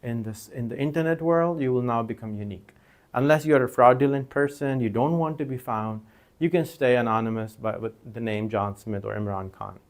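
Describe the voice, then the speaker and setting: A man's voice: mid-range voice, brisk. A man whose first language is Urdu, teaching an informal recorded lecture in a conversational way, with many fillers and restarts. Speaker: course instructor.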